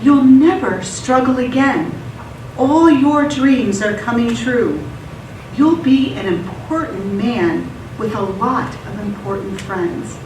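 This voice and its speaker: A woman's voice: on the high side, takes it slowly, a little mellow. A woman acting a scheming, confident sister in a live radio theatre play. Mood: coaxing, smug. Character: scheming, confident sister conning her brother for a cabal. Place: theatre stage. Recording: echoey stage, distant mic, faint noise.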